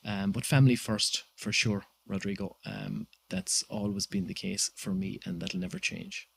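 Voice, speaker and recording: A man in his thirties with an Irish accent, giving measured interview answers with many pauses and fillers. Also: lowish voice, steady tone, creaky, breathy edge, no room sound. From Ireland. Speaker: guest entrepreneur.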